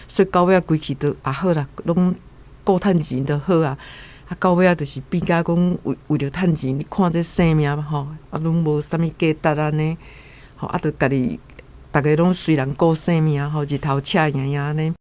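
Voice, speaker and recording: Earnest Taiwanese man, medium-high voice. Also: darkish tone. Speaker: guest commentator. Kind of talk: unscripted spoken reflection.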